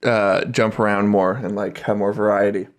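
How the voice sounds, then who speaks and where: A man's voice: fairly low pitch, level tone, normal speed. A man co-hosting a podcast in an easygoing, agreeable, casual way. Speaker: podcast co-host. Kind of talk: casual chat.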